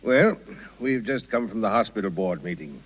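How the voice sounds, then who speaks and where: A man's voice: on the low side, animated, normal speed, slightly rough. An actor playing a self-important, meddling older townsman with a patronizing manner in a 1930s radio drama. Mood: hearty, patronizing. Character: pompous, meddling older male town worthy. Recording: muffled, lo-fi 1930s radio recording.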